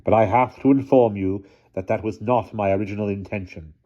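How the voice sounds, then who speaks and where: A man's voice: lowish voice, fairly even intonation, fairly quick, lo-fi sound, close to an everyday voice. A man voicing a bold, stern aunt in a storybook, speaking firmly and declaiming as if to a mob. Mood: firm, insistent. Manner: firm, declamatory.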